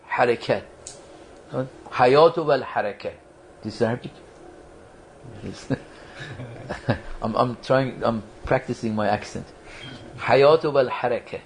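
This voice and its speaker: A man's voice: mid-range voice, takes it slowly, long pauses, slight background noise, low-quality recording. A man giving a religious study lecture to a small group, talking conversationally, quizzing the audience and often restarting his sentences. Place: lecture room at a community foundation.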